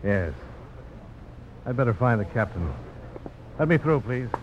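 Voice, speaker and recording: An actor playing a weary, resolute frontier adventurer in a grave, measured 1950s radio drama style. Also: male voice, medium pitch, lively intonation, lightly acted. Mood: firm. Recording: muffled, noisy old radio broadcast recording.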